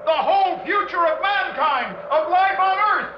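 Imploring phantom voice, extremely high, drawn-out, echoing in a noisy vintage radio play. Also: male voice, lively intonation, dull audio. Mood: desperate, urgent. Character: urgent, pleading, ghostly voice calling to a man. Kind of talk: 1960s radio drama acting.

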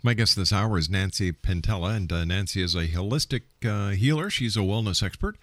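Low-voiced male radio host. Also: fairly bright tone, no echo. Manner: deliberate announcer delivery, spelling out contact details. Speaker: radio talk show host. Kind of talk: radio interview and announcements.

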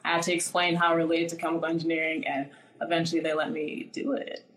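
A woman's voice: deep voice, flat delivery, fast, a bit bright. Chatty young woman in an echoey room. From born in Nigeria, raised in the US. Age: 20s. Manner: chatty, many "like" fillers. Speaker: guest. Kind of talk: casual interview.

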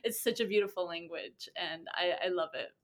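A young woman guest in a casual interview, bubbly and quick to laugh. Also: fairly low pitch. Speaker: podcast guest.

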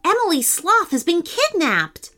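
A woman voicing an excitable, insistent little boy in a children's story, speaking emphatically. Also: very high voice, measured pace, pitched above the performer's own voice, full character voice. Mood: emphatic.

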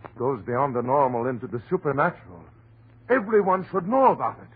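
Earnest doctor, husky, phone-like old radio. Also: male voice, mid-range voice, sing-song, a little fast. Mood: urgent. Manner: urgent, earnest, dramatic. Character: earnest, dutiful male doctor, shaken yet insisting on reason. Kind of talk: 1930s radio horror acting. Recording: muffled, phone-like 1930s radio recording.

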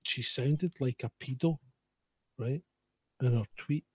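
A man's voice: medium pitch, takes it slowly, breathy edge, dry recording. A man from England in casual chat, wry and sighing, with some swearing.

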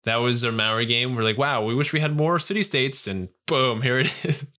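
A man co-hosting a casual gaming podcast, animated and joking as he goes through patch notes. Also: medium pitch, a little fast. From Canada. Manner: animated, joking, self-mocking. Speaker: podcast co-host. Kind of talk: casual chat about game patch notes.

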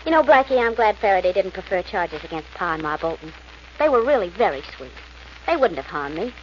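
A noisy, muffled old radio recording features a woman acting a detective's plucky girlfriend. She is coy and playful, with a pitch that rises and dips freely in a breezy 1940s radio drama style. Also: sing-song, brisk, lightly acted. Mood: earnest.

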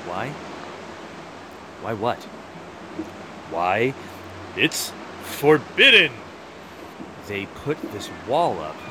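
Proud male wall guard played by a man, defensive and emphatic, very animated pitch, noisy recording with hiss and rumble. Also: extremely slow, pauses often, heavily acted. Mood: indignant. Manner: emphatic, argumentative. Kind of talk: one-act audio play acting.

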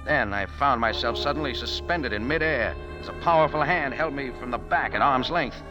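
A man's voice: mid-range voice, music in the background, lightly acted. An actor playing a tough, wry cafe owner who narrates his own adventure in 1940s radio style. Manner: tough, wry, quick wisecracks. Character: hard-boiled cafe owner, wisecracking narrator. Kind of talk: 1940s radio acting and first-person narration.

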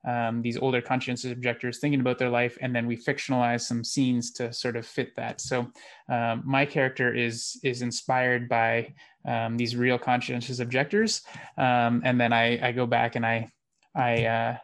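Even-toned man, halting delivery. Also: medium pitch. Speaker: interview guest, theatre producer and actor. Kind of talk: casual interview chat.

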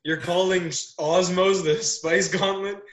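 Brief: man, podcast host running the show, teasing and joking, slightly high voice, echoey room. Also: fairly even intonation, measured pace. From Canada. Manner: joking, teasing, runs the agenda. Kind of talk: casual podcast chat.

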